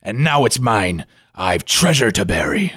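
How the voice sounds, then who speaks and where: Menacing comic pirate captain: raspy, forceful, stage pirate accent, radio-play bluster. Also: male voice, on the low side, very animated, measured pace, dry recording, clean studio sound, full character voice. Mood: gruff, haughty. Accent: put-on stage pirate. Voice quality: gravelly, rough. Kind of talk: comic 1940s-style radio play acting.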